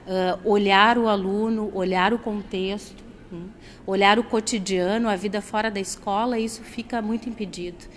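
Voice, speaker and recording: A woman teacher answers an interviewer at a middle, even pitch. She speaks thoughtfully, filling gaps with small hesitations. Faint background noise is present. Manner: thoughtful, hesitant with frequent fillers. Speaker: teacher, group facilitator.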